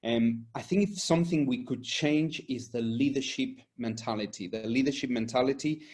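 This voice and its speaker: A man's voice: mid-range voice, normal speed, darkish tone. Earnest man being interviewed. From Argentina and Spain. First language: Spanish. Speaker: environmental and safety consultant being interviewed. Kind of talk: conversational interview answers.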